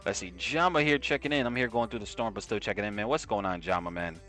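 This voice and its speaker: Low-voiced slangy New York man. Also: fast talker, a bit bright, soft background music. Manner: slangy and conversational, often tags "right?". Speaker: live-stream trading host. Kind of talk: casual live-stream chat with viewers.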